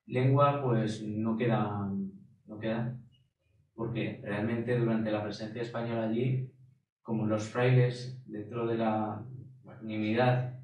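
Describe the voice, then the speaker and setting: A man from Spain telling a history story in a relaxed talk, animated and acting out quoted voices. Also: fairly low pitch, slow pace, lo-fi sound. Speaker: guest speaker. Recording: echoey room, distant mic.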